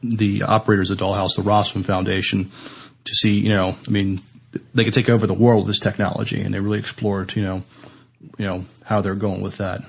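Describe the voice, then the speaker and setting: A man co-hosting a podcast, talking casually and haltingly as he searches for words. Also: lowish voice, flat delivery, creaky, slightly rough. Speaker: podcast co-host. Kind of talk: casual discussion.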